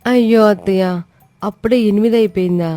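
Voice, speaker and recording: A woman performs a young, work-shy but clever daughter-in-law in an animated tale. She reasons and coaxes with cheeky confidence. The voice is close and dry, with music behind it. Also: medium pitch, a little fast, dry recording, close to an everyday voice. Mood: pleading, explaining. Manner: pleading yet self-assured explanations. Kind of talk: acted dialogue in an animated moral story.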